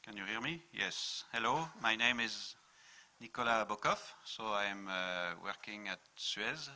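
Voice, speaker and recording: A man who manages water services for a company, introducing himself on a panel in a halting way with heavy fillers. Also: fairly low pitch, animated, pushed and bright.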